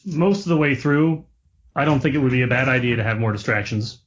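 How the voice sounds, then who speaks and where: Male tabletop player, average pitch, casual delivery, dull lo-fi recording. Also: fairly quick, slight background noise. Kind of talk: casual game table chat.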